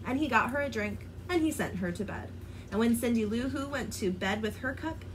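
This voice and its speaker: A woman reading a children's rhyming book aloud, in an expressive, sing-song delivery. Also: a little high, slight background noise, poor audio. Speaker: storyteller.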